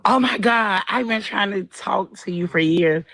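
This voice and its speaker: A woman's voice: medium pitch, measured pace, gravelly, no echo. A woman in her forties from Florida calling in to a live stream, chatty and eager. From Florida, USA. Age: 40s. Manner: excited, chatty, eager fan. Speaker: caller. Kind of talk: phone-in call on a live stream.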